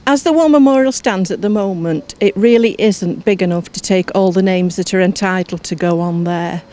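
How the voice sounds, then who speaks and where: A woman's voice: medium pitch, normal speed, warm tone. An older woman with an English accent telling her story conversationally in an interview. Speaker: interviewee, local researcher.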